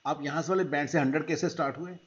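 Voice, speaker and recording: A man's voice: a little high, steady tone, very fast, lo-fi sound. A man hosting a reaction video, commenting sarcastically and mocking what he hears. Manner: mocking, puts on accents and laughs.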